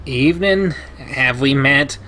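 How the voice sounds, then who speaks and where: A man's voice: medium pitch, takes it slowly, bright, forceful tone, slight background noise. A man voicing a nervous, polite young male salamander in a storybook reading. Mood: wary.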